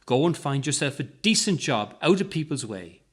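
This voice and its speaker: Man doing a shrill, belligerent old woman. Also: very animated, moderate pace. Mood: indignant scolding. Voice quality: shrill, growling. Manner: scolding, accusing. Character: shrill, belligerent, stressed elderly woman shopper.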